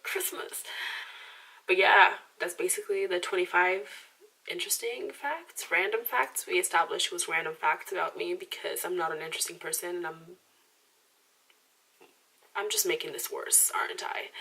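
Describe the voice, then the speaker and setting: Young woman vlogger with a slight Romanian accent, low gravelly tone, chatty and self-deprecating, mic a little off. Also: a little slow, pushed and bright, distant mic, low-quality recording. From Romanian background. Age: 20s. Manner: rambling, lots of "like" and restarts, self-deprecating. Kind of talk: casual chatty monologue to camera.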